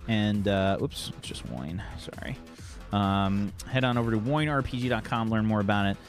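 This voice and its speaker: A man's voice: lowish voice, moderate pace, faint music behind. A man as game master, rambling through a casual stream wrap-up with many ums and thanks.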